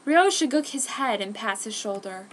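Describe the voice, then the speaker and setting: A woman's voice: a little high, expressive, a little slow. A woman reading a story aloud at home in a theatrical, emphatic way. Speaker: fan fiction reader. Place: a room at home. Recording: faint background noise, home room. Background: very quiet instrumental music, faint noise.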